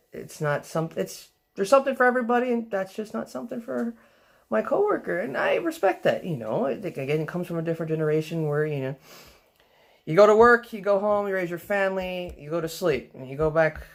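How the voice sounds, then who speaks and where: A young man with a soft voice, vlogging in a casual, rambling way, full of fillers and restarts. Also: high voice, sing-song, a little fast, creaky. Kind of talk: casual rambling vlog talk.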